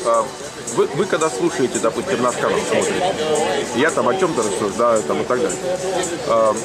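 A middle-aged man talks fast and with a lot of lift and fall in his voice, trailing through fillers as he chats. Loud music and a thick crowd sound behind him outdoors. His pace stays quick even when he searches for words. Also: mid-range voice. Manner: animated, quick, rambling with many fillers. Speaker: channel host living in the US. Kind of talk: casual conversation.

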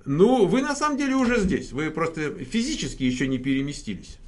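A man's voice: on the high side, very animated, a little fast. A man hosting a casual live question-and-answer stream, joking and rambling with many fillers. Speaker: host.

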